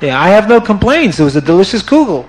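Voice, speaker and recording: A man's voice: sits high, very animated, fast talker, noisy background. Carefree disciple, voiced by a male storyteller, breezy and content. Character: easygoing male disciple, cheerful and unbothered.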